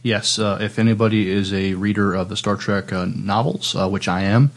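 A man co-hosting a podcast, chatting casually in a hesitant, halting way. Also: fairly low pitch, fairly even intonation. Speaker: podcast co-host.

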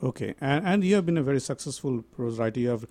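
A man's voice: mid-range voice, animated, fast talker, darkish tone, no echo. A man hosting a radio studio interview, speaking politely and hesitantly with frequent fillers. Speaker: radio host.

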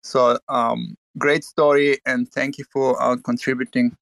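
A man's voice: mid-range voice, flat delivery, measured pace, creaky, a bit bright, no echo. A man from Bulgaria, earnest and full of restarts, talking in a casual online chat.

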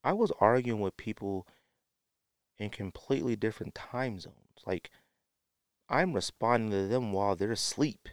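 Low-voiced man, emphatic podcast host. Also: animated, moderate pace, dry recording, studio quality. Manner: emphatic, repeats phrases for effect. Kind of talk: casual opinionated podcast monologue.

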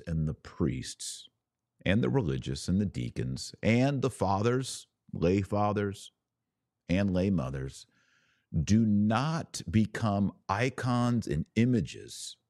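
A man hosting a religious podcast, preaching in an earnest, emphatic way with deliberate, broken-up phrasing. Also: low voice, darkish tone, no echo, clean studio sound. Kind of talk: preachy video commentary.

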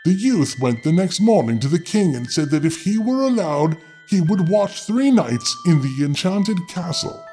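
A man tells a dark fairy tale in a dramatic, impish way. His voice sits a little high and swoops through a wide range. Music plays behind him. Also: big pitch swings, normal speed, hardly any pauses. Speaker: storyteller, host. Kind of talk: theatrical fairy tale narration with playful asides.

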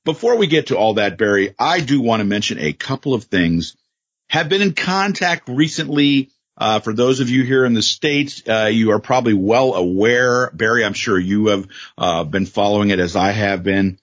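A man co-hosting a casual wrestling podcast, jokey and animated, with frequent fillers and self-corrections. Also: lowish voice, moderate pace, breathy edge, no echo, muffled recording. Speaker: podcast co-host.

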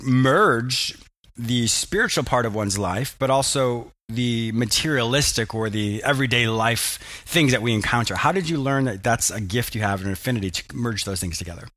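A man's voice: mid-range voice, normal speed, few pauses, fairly bright tone, no room sound. A man hosting an interview show, enthusiastic and affirming, often laughing. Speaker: podcast host.

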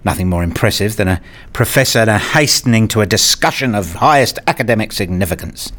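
A man's voice: lowish voice, animated, fast, a bit bright. A man playing a wry, bookish academic who narrates and talks in a radio play, with dry, wordy scripted delivery. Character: wry male academic narrating his own puzzled days. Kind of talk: scripted first-person narration and dialogue.

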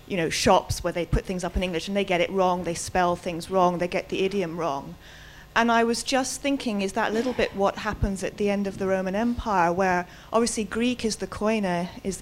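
A woman's voice: lowish voice, a little hiss or hum. A woman in the audience speaks up at a public debate, musing aloud and sure of her opinions. A faint room ambience sits behind her. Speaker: audience member asking a question. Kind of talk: spontaneous question at a public debate.

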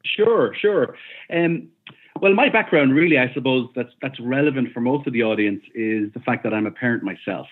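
A man's voice: mid-range voice, expressive, normal speed. Hesitant man over a tinny call line. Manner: thoughtful, hesitant, many ums and restarts. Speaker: guest, company founder. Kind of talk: casual interview answers. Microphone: remote call. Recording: tinny phone-like call audio.

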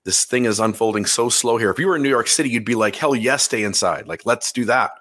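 A man hosting a podcast in casual chat, his voice a little hoarse and crackly, talking haltingly with many fillers. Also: medium pitch, a bit bright. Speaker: podcast host.

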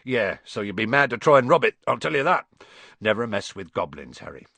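A man voicing a gruff, good-natured giant from a storybook, proud and loyal, with folksy clipped speech. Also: fairly low pitch, sing-song, a little fast, sharp tone, no echo, exaggerated voice. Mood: reassuring, proud. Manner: folksy, clipped casual speech, grunting and sleepy at first.

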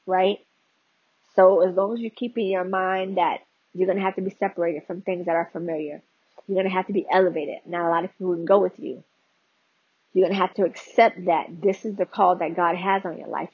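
A woman's voice: mid-range voice, slightly rough, no echo, muffled recording. A woman podcast host giving a conversational devotional teaching, talking straight to her listeners with frequent "you know" and "right?" asides.

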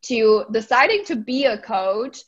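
A woman with a Czech accent, a life coach and podcast guest, speaking excitedly and laughing often. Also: fairly high pitch, unhurried, husky, muffled recording. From Czech Republic. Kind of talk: podcast interview.

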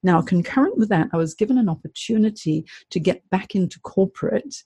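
A middle-aged woman from Hong Kong, a career coach, telling her story in a chatty, animated way as an interview guest. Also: mid-range voice, fairly quick, husky, dry recording. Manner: chatty, animated, laughs often.

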